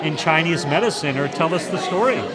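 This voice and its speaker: Excitable guy host, kinda high voice, loud party crowd behind. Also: brisk. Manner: eager, chatty, easily excited. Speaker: talk show host. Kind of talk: event interview chat. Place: busy indoor party. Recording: crowd noise of a busy party. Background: crowd chatter.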